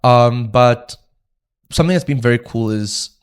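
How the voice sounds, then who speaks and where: A young man from South Africa, a podcast guest, talking in a relaxed, reflective way with frequent fillers. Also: mid-range voice, a little slow, clean tone. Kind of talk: casual podcast conversation.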